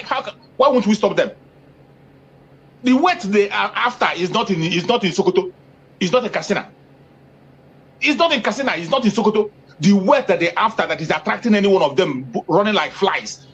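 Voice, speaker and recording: A man speaks in an interview with a raspy, fairly high voice. He stresses his points by repeating them, and his pitch rises as he presses harder. The audio sounds muffled and lo-fi. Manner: emphatic, repeats phrases for force, rising pitch when stressing points. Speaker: activist interviewee. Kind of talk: impassioned political interview talk. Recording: dull lo-fi audio.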